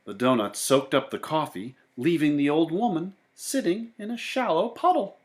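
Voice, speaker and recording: Animated, higher-pitched male storybook reader. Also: moderate pace. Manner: theatrical, expressive. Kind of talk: animated children's story narration.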